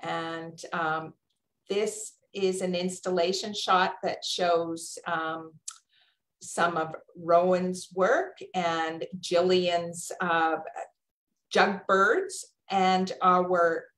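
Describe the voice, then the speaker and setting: A woman hosting an informal online event in a halting, polite manner. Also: lowish voice, steady tone, fairly bright tone. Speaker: host. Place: indoor room on a video call. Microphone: video call microphone. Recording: roomy, echoing video call.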